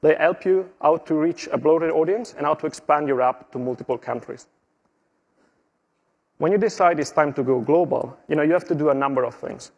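Italian man, middle-aged, husky and a bit high, walks an audience through product steps on stage. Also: fairly quick. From Italy. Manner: explanatory, steady walkthrough with small slips and fillers. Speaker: conference presenter. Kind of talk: tech conference presentation. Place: conference stage.

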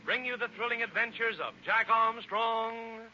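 A noisy, muffled vintage radio recording of an American man. He announces a sponsor in old-time style with a very high voice. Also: steady tone, normal speed, sharp tone.

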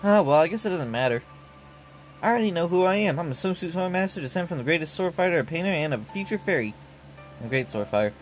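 A man's voice: on the high side, animated, fast, faint music behind, close to an everyday voice, low-quality recording. A man voicing a brash young swordswoman. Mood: proud. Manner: brash, boastful. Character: cocky young woman swordswoman painter.

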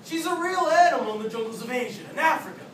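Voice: high voice, animated, fast talker, a bit bright. A performer telling a scripted story in readers theatre, with a projected, emphatic stage delivery. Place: classroom, indoors. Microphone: distant camera. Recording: echoey classroom from far, faint noise.

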